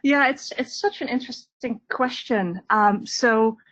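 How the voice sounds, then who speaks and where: Dutch-accented woman, earnest and measured. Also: a little high, fairly bright tone, no echo, highs cut off. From Netherlands. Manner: earnest, measured, with small restarts. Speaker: nonprofit representative. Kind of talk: webinar panel answers.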